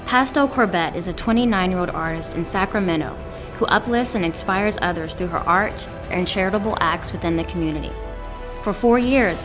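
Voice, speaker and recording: A woman reading a scripted community tribute aloud. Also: medium pitch. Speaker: company employee reading submission stories. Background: prominent background music.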